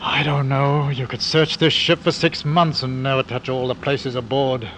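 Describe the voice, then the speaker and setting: An actor playing a weary, steady, authoritative ship's captain in a 1940s radio drama. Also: male voice, mid-range voice, moderate pace, natural voice. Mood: weary, grave. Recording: noisy vintage radio recording.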